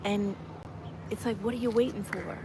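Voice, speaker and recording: A woman in her fifties, hoarse from a cold, giving chatty, encouraging exhortations while walking outdoors on a campus. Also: medium pitch, fairly even intonation, normal speed. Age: 50s. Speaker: motivational vlogger. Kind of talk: live-streamed walking vlog. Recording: noisy outdoor street ambience.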